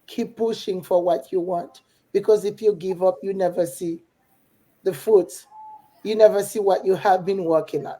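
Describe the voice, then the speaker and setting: A woman's voice: medium pitch, fairly even intonation, normal speed, warm tone, rough recording. A middle-aged woman from Haiti with a Haitian accent, a coach chatting casually and encouragingly. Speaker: business coach and mentor. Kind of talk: casual motivational chat.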